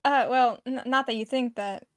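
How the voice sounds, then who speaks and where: A stubborn young heroine, voiced by a woman, high-pitched and emphatic. She sounds earnest and easily flustered, like a dating-game protagonist, recorded close and dry. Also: steady tone, moderate pace, dry recording, lightly acted.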